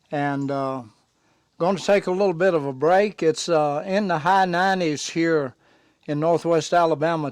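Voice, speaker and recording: Hoarse man at a sawmill. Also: fairly high pitch, a little mellow, no room sound. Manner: unhurried, with many pauses and fillers. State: hoarse, recovering from laryngitis. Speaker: farm vlog host. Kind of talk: casual vlog talk to camera. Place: open sawmill shed at a farm.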